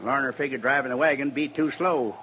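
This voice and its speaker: An actor playing a cantankerous old American buffalo hunter in a radio western, talking in gruff, folksy frontier dialect. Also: male voice, medium pitch, fairly quick, lightly acted. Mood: bitter, threatening. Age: older. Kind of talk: 1950s radio western acting. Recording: muffled vintage radio recording, faint noise.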